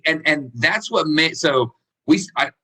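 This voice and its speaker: A man with a Texan accent, a country singer telling stories in a relaxed interview and laughing easily. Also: a little high, measured pace, husky. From Texas. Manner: laid-back storytelling, laughs easily. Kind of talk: casual interview chat.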